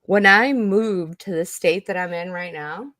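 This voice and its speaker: A woman's voice: on the low side, unhurried, fairly bright tone, no room sound, clean studio sound. A woman from Texas co-hosting a casual talk show, a chatty storyteller who laughs often. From Texas, USA. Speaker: co-host. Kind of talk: casual chat.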